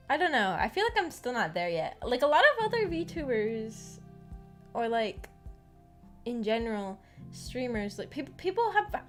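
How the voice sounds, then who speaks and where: A woman streamer chatting casually, giggly and hesitant, rambling and repeating her words. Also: fairly high pitch. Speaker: VTuber streamer hosting a visual novel book club. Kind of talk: casual livestream chat. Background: background music.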